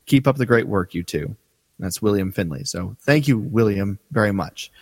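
A man co-hosting a fan podcast, joking in a relaxed way and reading listener letters aloud. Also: lowish voice, moderate pace, long reverb tail. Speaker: podcast co-host. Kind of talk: casual podcast chat.

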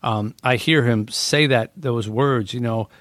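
A middle-aged man speaks with earnest warmth of thought, pausing on "you know" as he goes. His voice sits in an ordinary middle register. The recording is dry and close. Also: mid-range voice, no echo. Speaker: podcast guest. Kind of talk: reflective casual conversation.

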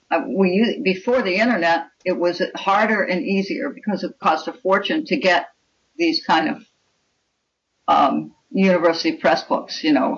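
Older woman, low-voiced, on lo-fi audio. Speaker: guest author. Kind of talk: conversational interview answers.

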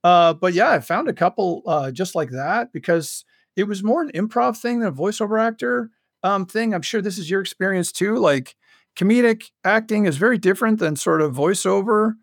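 A man with a high-pitched voice and a very dry, close sound speaks as an interview guest. He talks casually and in an animated way, breaking off and restarting as he tells his stories. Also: moderate pace, no room sound. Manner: animated, self-interrupting storyteller who acts out quoted dialogue. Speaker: guest, audio drama creator and writer.

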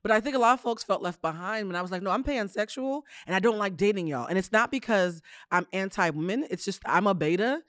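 A woman with a big voice chatting on a podcast, quick and lively. Her pitch swings widely as she talks and laughs. The sound is close and dry. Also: dry recording. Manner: animated, laughs often, talks fast. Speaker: podcast host. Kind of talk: casual chat.

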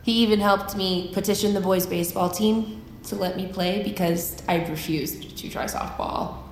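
A woman's voice: mid-range voice, fairly even intonation, normal speed, roomy sound, a little hiss or hum, close to an everyday voice. A woman playing a blunt, grieving young army private in an audio drama, with an American accent and an argumentative, fiercely insistent way of talking. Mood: grieving, halting. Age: young adult.

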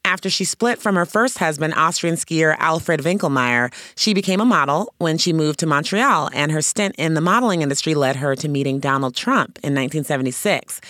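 A woman hosting an entertainment news show, with brisk, conversational presenting. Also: deep voice, animated, a bit bright, no room sound, studio quality.

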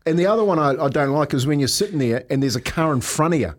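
Middle-aged male Kiwi radio host, higher-pitched, animated storyteller with an easy chuckle, casual banter. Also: quick pace. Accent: New Zealand. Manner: animated, jokey storyteller who often chuckles while talking.

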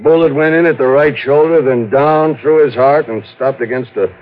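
An American actor playing a young, cooperative police sergeant in a 1950s radio drama, plain-spoken and colloquial. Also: male voice, mid-range voice, normal speed, lightly acted. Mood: businesslike. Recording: dull 1950s radio recording.

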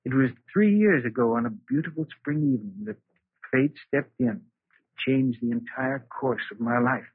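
A man's voice: medium pitch, moderate pace, husky, no room sound. A man playing a mild-mannered, henpecked bookkeeper husband with buried bitterness in a 1940s radio drama. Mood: confessional. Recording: tinny, dry vintage radio recording.

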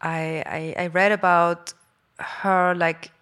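A woman's voice: lowish voice, little pitch movement, fairly bright tone, clean tone. A woman from Austria, a film director, speaking at a live panel and restarting her sentences often. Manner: halting, with frequent restarts. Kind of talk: panel conversation. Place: live stage event before an audience.

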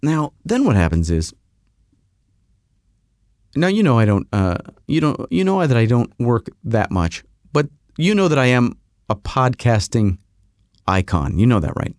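A man's voice: mid-range voice, big pitch swings, unhurried, pauses often, a little mellow, no echo, clean studio sound. A man hosting a podcast who tells a rambling comic story full of self-mocking asides. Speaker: podcast host.

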